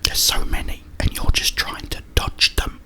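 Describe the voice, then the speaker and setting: A man whispering a rambling, halting ASMR monologue about video games, with a breathy unvoiced whisper and frequent pauses. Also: sharp tone. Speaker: ASMR creator.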